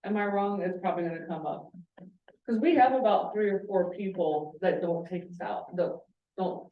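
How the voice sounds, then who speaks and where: A woman's voice: on the low side, recorded from a distance, phone-quality sound. A woman with a Southern US accent talks through her draft, repeating herself and brushing off her own wording. She is picked up from across an echoey county meeting room. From American South. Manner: self-deprecating, repeats herself, quick. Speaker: committee member drafting the report. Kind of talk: casual committee discussion.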